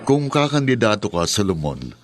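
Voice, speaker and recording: A man's voice: lowish voice, big pitch swings, normal speed, dry recording, faint music behind. A man acting in a radio drama as a worldly, pushy friend who cajoles and goads. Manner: cajoling, goading.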